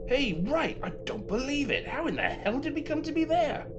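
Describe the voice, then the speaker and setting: A man's voice: very high voice, very animated, normal speed. A man playing a cocky, impatient spaceship rogue in an amateur audio drama, swaggering, slangy and easily exasperated. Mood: baffled, impatient. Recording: dull lo-fi audio, background noise.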